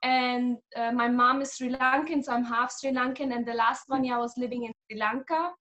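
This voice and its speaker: A woman's voice: on the high side, fairly even intonation, fairly quick, fairly bright tone, highs cut off. A woman in her thirties from Germany, a guest healer talking animatedly over a video call and laughing often. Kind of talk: casual video-call interview. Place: small room at home.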